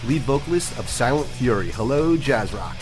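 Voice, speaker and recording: A friendly man hosts a casual radio show, rambling through shout-outs and restarting his questions as he goes. His voice sits at a middle pitch for a man. Faint music runs behind him. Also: animated, moderate pace.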